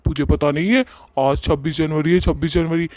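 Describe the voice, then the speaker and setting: A man's voice: a little high, fairly even intonation, a little fast, darkish tone, dry recording, close to an everyday voice. A man voicing a bossy, boastful male ghost in a Hindi cartoon story, with a swaggering, colloquial way of talking. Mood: bullying. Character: bossy, boastful male ghost who bullies villagers and flatters his sweetheart.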